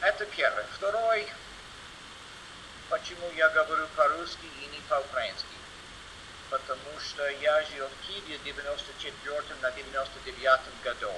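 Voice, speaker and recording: A man with an American accent gives an informal conference talk as a non-native speaker, halting and searching for words with broken grammar. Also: fairly high pitch. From United States. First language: English. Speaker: guest conference presenter. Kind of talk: informal conference talk in Russian as a second language. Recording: noisy online seminar audio.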